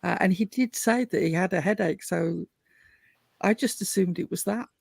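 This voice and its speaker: A woman in casual panel chat, speaking in a measured, articulate way. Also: on the low side, creaky, darkish tone, no echo, studio quality. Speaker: panelist on a livestream open panel.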